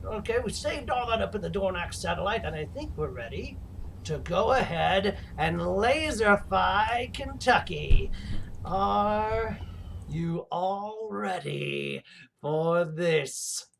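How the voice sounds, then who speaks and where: Man doing a high, creaky mad villain host. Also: expressive, a bit bright, slight background noise, exaggerated voice. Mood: maniacal. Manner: halting, gloating, melodramatic. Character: theatrical male mad villain host plotting laser revenge. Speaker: livestream show host. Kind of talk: rambling in-character horror-comedy livestream.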